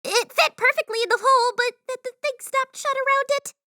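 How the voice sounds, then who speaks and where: A woman voicing an excitable, clumsy young limpet apprentice in an audiobook, hurried and stammering. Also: very high-pitched, dry recording, studio quality. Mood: flustered, hurried. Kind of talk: character acting in an audiobook.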